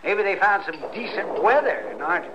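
An actor playing a kindly, fussy older town doctor in an old-time radio western. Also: male voice, high-pitched, big pitch swings, fairly quick. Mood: chatty. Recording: hissy, dull old radio recording.

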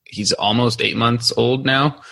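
Bright-voiced man from Ukraine, chatty. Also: medium pitch, steady tone, unhurried, creaky, a little hiss or hum. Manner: chatty, many fillers and restarts, laughs often. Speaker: company CEO, podcast guest. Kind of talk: casual interview storytelling.

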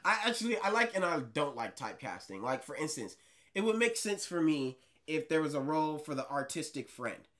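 Light-voiced animated young man. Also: a little fast. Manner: animated, excitable rises in pitch and loudness. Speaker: actor guest. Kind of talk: casual chat.

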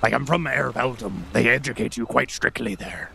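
A man voicing a raspy, blunt male whaling ship captain who talks plainly with old-fashioned phrasing. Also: medium pitch, steady tone, moderate pace, pushed and bright, slight background noise.

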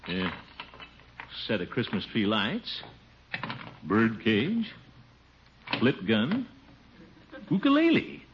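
A blustery middle-aged American uncle in a 1950s radio comedy. He is pompous and boastful, with a lively swooping delivery and chuckles. The old recording sounds dull. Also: fairly low pitch, drawn-out words, long pauses, background noise, heavily acted. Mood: lecturing.

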